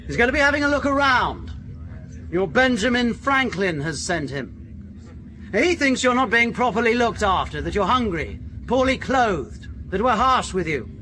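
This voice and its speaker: An actor playing a bullying, officious prison officer in a period radio drama, commanding and clipped. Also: male voice, very high voice, sing-song, measured pace, bright, forceful tone, a little hiss or hum, heavily acted. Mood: menacing, officious. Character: harsh British prison officer, bullying, self-serving, officious.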